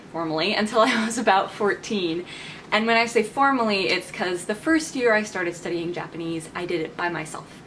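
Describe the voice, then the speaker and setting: A woman's voice: mid-range voice, fairly bright tone, slight background noise, poor audio. A woman in her twenties talking casually to camera as a vlogger, chatty and enthusiastic, laughing often as she talks. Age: 20s. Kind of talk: casual vlog talk to camera.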